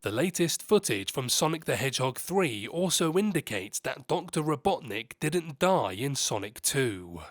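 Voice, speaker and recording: A man's voice: medium pitch, big pitch swings, moderate pace, hardly any pauses, no room sound, studio quality, soft background music. A man presenting a scripted news narration as the host of a movie channel.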